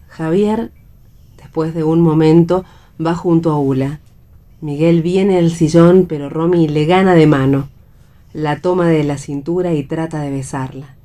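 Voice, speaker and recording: A woman's voice: sits low, warm tone. A woman with an Argentine accent reading stage directions as the narrator of a radio play.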